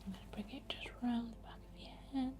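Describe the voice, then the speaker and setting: A woman whispering softly and soothingly in an unhurried ASMR guided meditation, leading a healing session. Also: on the high side.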